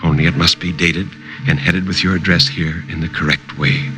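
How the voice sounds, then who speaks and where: A man voicing a secretive, impassive male messenger who speaks in a terse, measured, evasive way. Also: flat delivery, over music, natural voice. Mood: impatient, businesslike. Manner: low, slow, measured, evasive.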